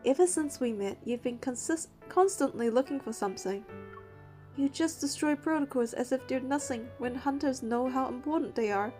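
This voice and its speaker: A woman's voice: high voice, normal speed, darkish tone, faint music behind, natural voice. An actress playing an earnest, determined young woman hunter in a scripted game, voicing her dialogue and first-person narration.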